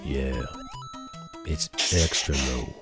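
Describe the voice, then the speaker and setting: A hoarse man hosting a game stream, chatting casually and joking with his co-host and the chat. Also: extremely low pitch, steady tone, very slow, over music. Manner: joking, rambling, talks to chat. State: hoarse from a strained voice. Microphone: desk streaming mic.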